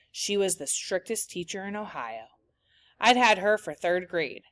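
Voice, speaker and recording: A woman teacher reading a children's novel aloud, with clear storytelling after a few discussion questions. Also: mid-range voice, fairly bright tone, dry recording.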